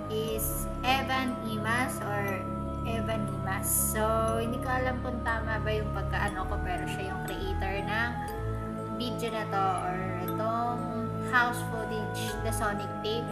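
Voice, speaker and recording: A woman with a Filipino accent hosting a reaction video in casual, chatty vlog style. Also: medium pitch. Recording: echoey room, music behind.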